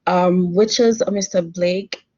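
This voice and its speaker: A woman's voice: lowish voice, steady tone, a little slow, darkish tone, no room sound, muffled recording. A young woman presenting in class, correcting herself and using fillers. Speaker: student presenter.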